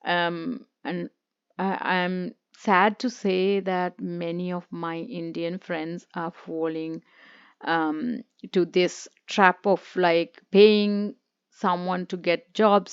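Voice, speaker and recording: A Sri woman hosting an advice vlog in a low, smooth, resonant voice. She talks earnestly and straight to the viewer, with many fillers and restarts. The sound is dry and a bit dull and lo-fi. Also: a little mellow, no echo. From Sri Lanka. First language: Sinhala. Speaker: YouTube host, former immigration consultant. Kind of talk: casual talking-to-camera vlog.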